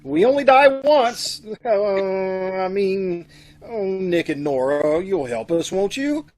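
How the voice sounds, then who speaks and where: A man's voice: high voice, lively intonation, slow pace, darkish tone. An American man playing a cowardly, doting young bridegroom in an old-time radio comedy, bumbling and hesitant. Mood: flustered.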